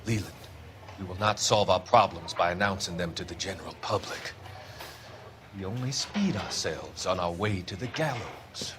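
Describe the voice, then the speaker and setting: An actor playing a cold, scheming doctor with a measured, formal, condescending manner in a video game cutscene. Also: male voice, low voice, fairly bright tone, slight background noise. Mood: cold, controlled. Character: smooth, cold, scheming male doctor hiding menace.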